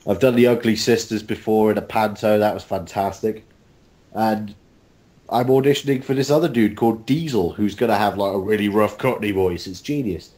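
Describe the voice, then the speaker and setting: A man with a fairly low voice takes part in casual group banter as a guest. His manner is jokey and irreverent. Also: moderate pace, a little mellow. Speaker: voice actor and panel guest.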